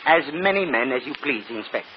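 Male actor as courteous, boastful showman magician, deliberate and grandiose, on a dull, hissy old radio broadcast. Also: mid-range voice, animated, lightly acted. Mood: grandly confident. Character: theatrical, boastful stage magician, courteous and confident. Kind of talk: old-time radio mystery acting.

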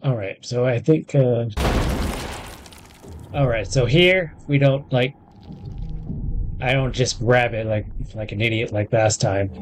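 Slow-paced man doing game commentary. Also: mid-range voice, noisy background. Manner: halting, thinking aloud. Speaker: gamer recording a playthrough. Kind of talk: casual gameplay commentary.

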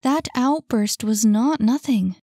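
A woman reading a storybook and voicing a gentle, caring young woman who speaks softly and pleadingly to a troubled friend. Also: on the high side, slow, no echo, studio quality, voice pushed up. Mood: insistent. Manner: soft, pleading, concerned.

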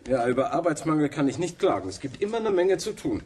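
A man's voice: fairly high pitch, big pitch swings, fast talker, a little mellow. An actor playing a fussy, reluctant academic man in a radio play, muttering and pedantic.

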